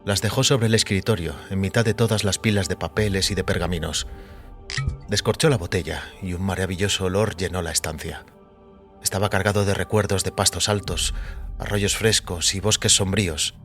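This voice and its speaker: A man narrating scripted audio fiction in a measured, literary delivery. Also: deep voice, steady tone, a bit bright, faint music behind.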